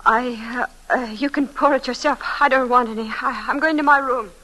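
A woman's voice: high-pitched, normal speed, pushed and bright, poor audio. An actress playing a tense, frightened young woman in an old-time radio thriller, hesitant, breathless and dramatic. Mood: panicked.

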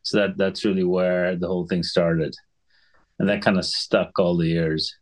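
A middle-aged man from Sri Lanka with a deep, low voice, talking as a guest in a relaxed interview. He explains things in a measured, unhurried way and stops now and then to clear his throat. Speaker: guest physician. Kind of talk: conversational podcast interview.

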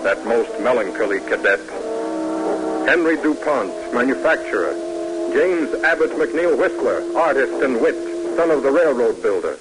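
A man's voice: medium pitch, lively intonation, normal speed, rough recording. An American man narrating an old-time radio drama in a solemn, stately, oratorical way. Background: music.

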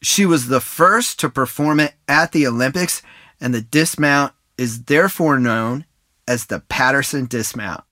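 A man hosting a sports podcast, reading scripted narration with a smooth, even delivery. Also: mid-range voice, measured pace, no echo, clean studio sound. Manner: smooth read-aloud delivery. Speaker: podcast host.

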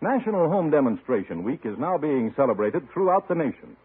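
Higher-pitched, husky male radio announcer. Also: big pitch swings, fairly quick. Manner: formal, earnest. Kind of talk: scripted 1950s public-service announcement. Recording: tinny old radio broadcast.

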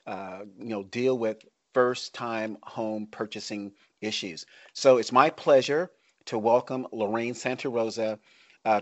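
Middle-aged man, American accent, podcast host, medium pitch, dry close sound. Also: no room sound, lo-fi sound. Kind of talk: podcast hosting, scripted intro and interview chat.

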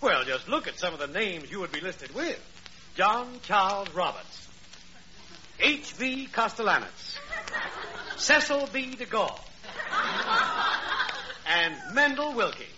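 An actor playing a hearty, effusive con man who flatters his mark in a 1940s radio comedy. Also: high-pitched, slow pace, pauses often, exaggerated voice. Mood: hearty, flattering. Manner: effusive, smooth-talking. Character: glib, hearty male swindler, an old pal flattering his mark. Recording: dull, hissy 1940s radio recording.

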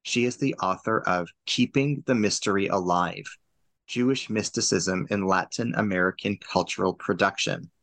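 A man hosting an interview podcast, formal and measured in tone. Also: fairly low pitch, gravelly, a bit bright, no room sound. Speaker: podcast host.

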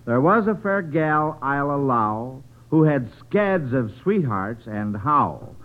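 A man's voice: medium pitch, big pitch swings, takes it slowly. An American man hosting an old-time radio quiz show. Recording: muffled, band-limited vintage radio broadcast.

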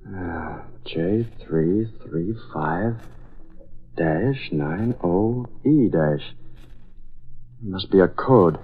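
An actor playing a serious, authoritative newspaperman sleuth in an old radio drama, crisp and commanding. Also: deep voice, expressive, extremely slow, full character voice. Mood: musing. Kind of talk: 1940s radio acting. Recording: muffled vintage radio recording.

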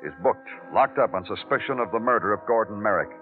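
An actor playing a weary, hard-boiled police detective who questions tersely and narrates in a brooding, poetic way in a radio drama. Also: male voice, lowish voice, fast talker, natural voice. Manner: clipped, sardonic, poetic narration. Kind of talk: 1950s radio noir acting and narration. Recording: old narrow-band radio recording with music.

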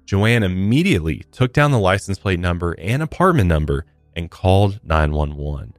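A man's voice: low-pitched, big pitch swings, normal speed, no room sound, soft background music. A man co-hosting a true crime podcast, recounting case details in a casual, conversational way with blunt asides.

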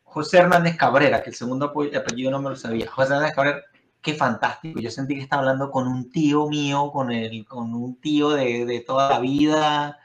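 A man's voice: mid-range voice, fairly even intonation, brisk, roomy sound. A Venezuelan man hosting a podcast, chatting casually with a guest and rambling through restarts and fillers. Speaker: podcast host. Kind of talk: casual interview chat. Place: Florida.

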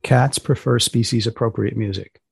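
Man, podcast host, deadpan, short prompts, gravelly fry, slightly rough husky tone. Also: mid-range voice, normal speed. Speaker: podcast host and magazine editor. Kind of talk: hosting a humorous science podcast, interviewing a guest.

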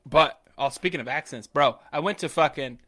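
A man's voice: a little high, a little fast. A man with a Southern accent, co-hosting a podcast, telling casual stories full of swearing and acted-out quotes. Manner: animated storyteller who acts out quotes. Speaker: podcast co-host. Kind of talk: casual profane chat.

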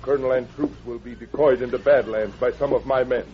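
An actor playing a proud young war chief in a radio western, speaking stern, clipped formal English. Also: male voice, mid-range voice, steady tone, a little fast, slight background noise, natural voice. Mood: menacing, confident. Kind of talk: 1950s radio western acting. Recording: dull old radio recording.

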